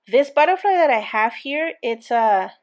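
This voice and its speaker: A woman with a light accent talks her viewers through a crafting project. Her voice sits a little high, and she rambles in a friendly, chatty way, full of fillers and restarts. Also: expressive, measured pace. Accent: non-native English accent. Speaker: crafting channel host. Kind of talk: casual crafting tutorial chat.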